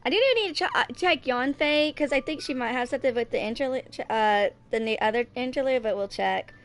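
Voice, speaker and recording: A woman in her twenties streaming a video game, talking casually in a rambling, halting way that circles back on itself. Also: high-pitched. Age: 20s. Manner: rambling, halting, repeats herself. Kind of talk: casual livestream chat with some reading aloud of game text.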